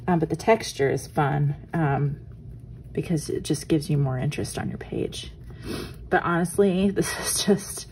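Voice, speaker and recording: A woman with a hoarse morning voice, chatting casually as she walks through a craft tutorial at her desk, often trailing off into soft murmurs. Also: low-pitched, slight background noise, rough recording. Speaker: art journaling instructor. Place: home craft desk, indoors.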